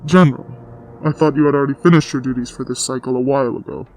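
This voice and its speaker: A man playing an aloof, coolly commanding warrior master in a dramatic comic dub, measured and dismissive in manner. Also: medium pitch, steady tone, a little mellow, close to an everyday voice, poor audio. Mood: dismissive, irritated. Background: music.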